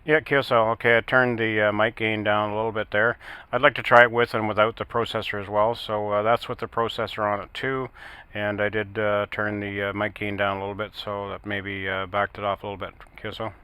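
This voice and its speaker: A man working as an amateur radio operator, talking in a halting, matter-of-fact way in a casual technical on-air chat. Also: on the low side, flat delivery, bright, forceful tone, smooth.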